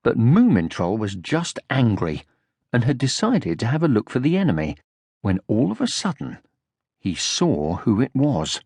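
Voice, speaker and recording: A man narrating a children's audiobook from a script in an expressive storytelling style. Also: mid-range voice, normal speed, gravelly, dry recording.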